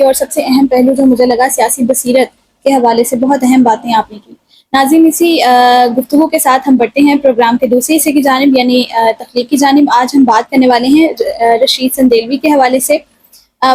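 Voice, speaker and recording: A woman's voice: sits high, darkish tone, slightly rough, poor audio. A young woman with a Pakistani accent, hosting a talk show in a formal, polite and deferential way. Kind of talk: formal programme presenting.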